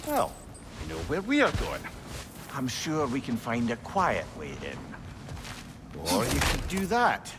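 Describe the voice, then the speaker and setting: A man's voice: medium pitch, huge pitch swings, measured pace, long pauses, heavy background noise. An actor playing a wry, chatty male know-it-all companion with a Scottish accent in a video game. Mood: wry, reassuring. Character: severed talking head, witty know-it-all male companion. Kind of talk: video game character acting.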